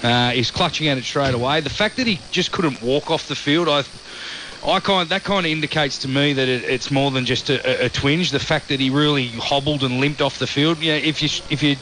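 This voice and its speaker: An Australian man giving measured analysis on a radio panel, with many fillers. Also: mid-range voice, sharp tone, slight background noise, low-quality recording. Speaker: radio rugby league commentator.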